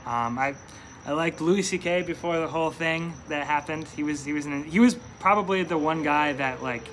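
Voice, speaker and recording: A man's voice: a little high, moderate pace, a bit bright, slight background noise. Young man with a New York accent, hesitant. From upstate New York. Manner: rambling, hesitant, many fillers and restarts. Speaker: stand-up comedian, guest. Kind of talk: casual interview chat.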